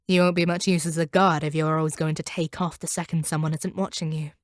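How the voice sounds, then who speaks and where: A woman playing a proud, cold monster hunter in an audio roleplay, speaking to a captive in a measured, condescending and domineering way. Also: low-pitched, little pitch movement, no echo, clean studio sound, lightly acted. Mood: cold, menacing. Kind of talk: scripted audio roleplay monologue.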